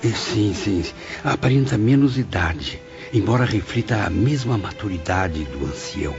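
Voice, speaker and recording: A man playing a reflective, courteous spirit who narrates his memoir in a formal, expressive audiobook drama style. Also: fairly low pitch, normal speed, creaky. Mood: courteous wonder. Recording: dull lo-fi audio under music.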